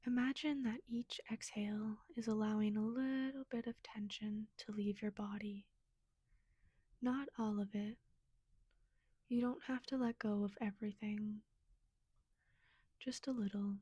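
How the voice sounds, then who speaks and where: Female meditation guide: gentle and soothing, mellow soft tone, close dry sound. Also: mid-range voice, steady tone, unhurried, long pauses, husky, dry recording, muffled recording.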